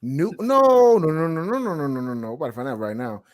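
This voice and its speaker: A man's voice: a little high, animated, a little fast. A man in a casual, opinionated chat, blunt and emphatic, repeating words and swearing heavily. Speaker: guest co-reviewer.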